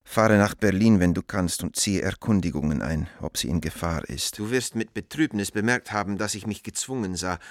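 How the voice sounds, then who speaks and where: Anguished letter writer, deep low voice, grave, formal, urgent pleading, radio play, dry studio sound. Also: male voice, dry recording. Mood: worried, beseeching.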